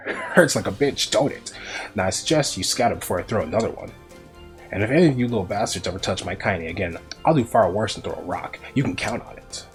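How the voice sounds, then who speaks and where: A man voicing a fierce, vulgar old grandmother who talks with a harsh, growling rasp. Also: deep voice, animated, normal speed, soft background music. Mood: menacing.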